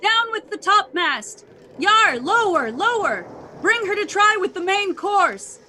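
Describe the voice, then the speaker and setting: A woman's voice: very high voice, sing-song, takes it slowly, sharp tone, a little hiss or hum, heavily acted. A woman playing a frantic, commanding boatswain, declaiming Shakespeare urgently in a theatrical storm scene. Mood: barking orders.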